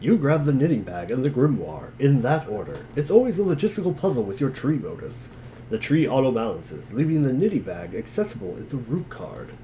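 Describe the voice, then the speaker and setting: A man's voice: medium pitch, very animated, fairly quick, dark tone, a little hiss or hum. A man giving a wry, theatrical dramatic reading of narration and dialogue, dropping into casual asides. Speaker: reader in a group dramatic reading.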